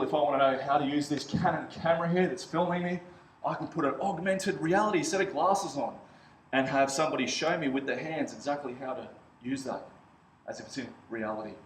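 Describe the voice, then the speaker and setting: A man with a loud voice giving an energetic conference talk, often turning questions to the audience in a large hotel function room. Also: a little high, slight background noise. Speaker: conference speaker. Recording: echoey hall with faint ambience.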